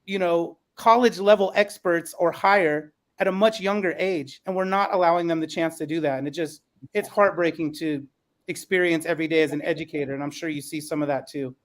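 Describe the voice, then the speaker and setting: A man's voice: a little high, steady tone, brisk. A man hosting a podcast panel as an educator, speaking in an earnest, emphatic way.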